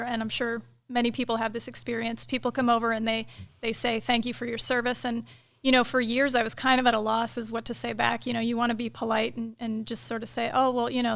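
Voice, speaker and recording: Gravelly-voiced woman in a casual interview. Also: a little high. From United States. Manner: relaxed, thoughtful, many fillers. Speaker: KC-135 pilot and director of inspections, guest.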